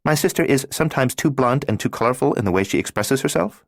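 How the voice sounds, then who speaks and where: A man voicing an earnest, gentle twelve-year-old girl who speaks in a measured way. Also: medium pitch, expressive, gravelly, no echo, clean studio sound, pitched above the performer's own voice. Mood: apologetic.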